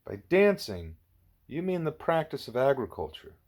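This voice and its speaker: A man's voice: mid-range voice, very animated, moderate pace, a little mellow, pitched above the performer's own voice, natural voice. A man reading the part of an earnest, inquisitive young girl pupil in an audiobook, questioning to check understanding. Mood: earnest, probing.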